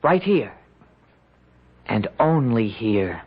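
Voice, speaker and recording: An actor playing an honest, stubborn young soldier lad in a 1970s radio drama, folksy, naive and halting. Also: male voice, mid-range voice, big pitch swings, breathy edge, exaggerated voice. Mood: quietly certain. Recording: dull lo-fi 1970s radio recording.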